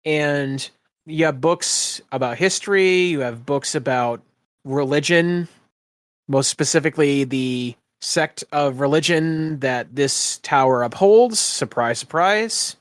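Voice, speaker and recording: A man with a slightly high voice runs a tabletop fantasy game as game master. He improvises his narration piece by piece, in a halting way. The sound is close and dry. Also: no room sound.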